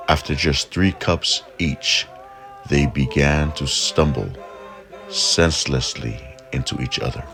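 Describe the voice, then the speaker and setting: A man narrating a folk tale in a measured, deliberate storytelling manner. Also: very deep voice, vocal fry, fairly bright tone, soft background music.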